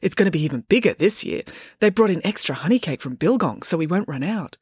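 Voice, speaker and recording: Storybook role: self-assured, bossy young woman played by a woman narrator, breezy, lowish voice, dry recording. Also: lively intonation, fast, a little mellow, breathy edge, no echo.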